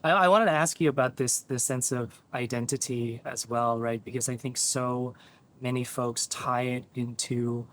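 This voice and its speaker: A man's voice: medium pitch, fairly even intonation, moderate pace, fairly bright tone. A man hosting a podcast, asking casual questions with affirming "yeah"s and "you know"s. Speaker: podcast host.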